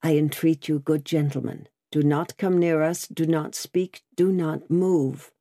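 A woman voicing a devoted, earnest young daughter in an audiobook, pleading gently and fervently. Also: medium pitch, unhurried, dry recording, natural voice. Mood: hushed pleading. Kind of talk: dramatic audiobook character reading.

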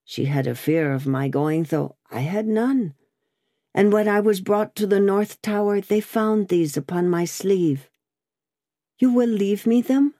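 Woman as old male prisoner, storybook drama, broken, haunted, halting delivery, dark soft tone, close dry sound. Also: medium pitch, no echo, voice pushed up, natural voice. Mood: remembering. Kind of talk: dramatic audiobook character reading.

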